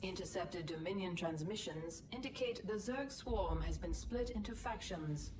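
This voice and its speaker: A woman's voice: fairly low pitch, animated, normal speed, noisy background, natural voice. A woman voicing an impassive ship's computer in a video game, with precise, clipped announcements. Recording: echoing, distant speaker, music behind.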